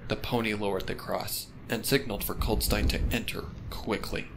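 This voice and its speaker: A man's voice: on the low side, fairly even intonation, moderate pace, gravelly, background noise. A man reading a story aloud as a narrator, with dramatic scripted delivery. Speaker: fan fiction narrator.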